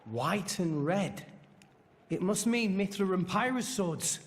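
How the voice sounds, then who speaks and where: A man's voice: sits high, animated, slow. A man playing an earnest, determined teenage boy hero in a video game, with an eager, heartfelt delivery. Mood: shaken. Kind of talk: dramatic video game cutscene acting. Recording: long reverb, loud music behind.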